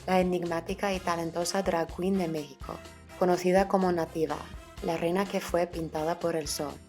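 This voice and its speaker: Low-voiced woman narrating over soft music. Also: fairly even intonation, moderate pace. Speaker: documentary narrator. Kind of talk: scripted narration.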